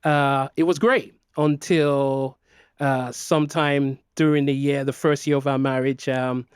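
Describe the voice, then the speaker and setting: A man's voice: medium pitch, flat delivery, a little slow, clear, resonant tone, no echo, clean studio sound. A middle-aged man who grew up in Nigeria, speaking as an interview guest in a hesitant way with many fillers and restarts. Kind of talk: personal interview.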